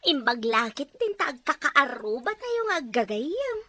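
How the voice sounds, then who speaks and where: Woman acting chatty eager ilocano girl next door, high swoopy voice, dry close sound. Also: big pitch swings, measured pace, bright, forceful tone, dry recording, heavily acted. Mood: delighted, friendly. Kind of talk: acted family drama dialogue.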